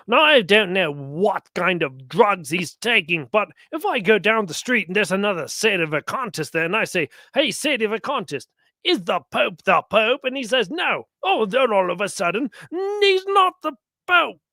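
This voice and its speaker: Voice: mid-range voice, big pitch swings, a little slow, bright, forceful tone, no echo, clean studio sound. A commentator giving sarcastic religious reactions to clips, mocking and often acting out imagined exchanges.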